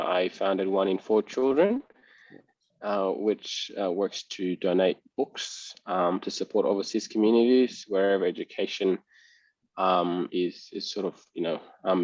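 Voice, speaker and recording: Low-voiced man, muffled and dry. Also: darkish tone, no echo. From Sudan. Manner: measured and reflective, pausing to gather thoughts. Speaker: interview guest. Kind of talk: casual interview answers.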